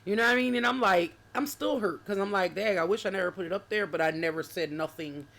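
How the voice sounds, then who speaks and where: A woman's voice: medium pitch, fast talker, fairly bright tone. A woman in her forties co-hosting a casual chat, speaking earnestly and quoting past conversations. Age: 40s. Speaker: co-host.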